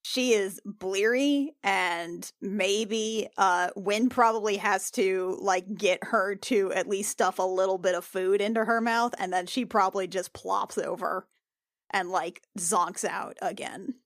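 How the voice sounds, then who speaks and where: A woman's voice: medium pitch, fairly even intonation, slow pace, dry recording, studio quality. A woman playing a tabletop game, casually describing her character's actions in a rambling way, full of hedges. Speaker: player.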